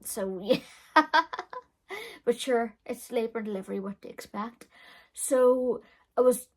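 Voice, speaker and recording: A young woman recounts her own story in a loose, chatty vlog manner, peppering it with fillers. Her voice is loud, with a gravelly, creaky edge running under mid-range pitch. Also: fairly even intonation, a little slow. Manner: chatty, frank, with frequent fillers and laughs. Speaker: beauty vlogger. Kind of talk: casual storytelling.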